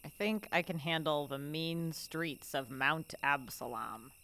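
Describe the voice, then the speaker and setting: A woman's voice: sits low, slow pace, vocal fry, fairly bright tone, full character voice. An actress playing Dot, a woman with a dry, wry way of talking in a radio drama. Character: Dot Harper, a woman hurt on a highway.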